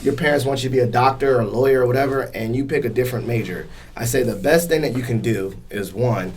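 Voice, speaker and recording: A man's voice: medium pitch, fast, a little mellow, a little hiss or hum. A man hosting a podcast in a casual, conversational interview style, speaking colloquially and encouragingly. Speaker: podcast host.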